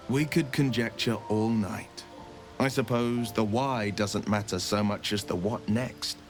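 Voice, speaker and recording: An actor voicing a confident, wry male fighter companion in a video game, measured and reassuring. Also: on the low side, close to an everyday voice. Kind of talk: video game cutscene dialogue.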